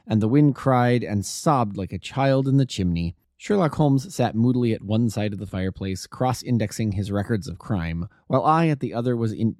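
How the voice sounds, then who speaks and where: Mellow, expressive man narrating a story. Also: mid-range voice, dry recording, studio quality. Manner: expressive, deliberate. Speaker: amateur audiobook narrator. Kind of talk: scripted literary narration.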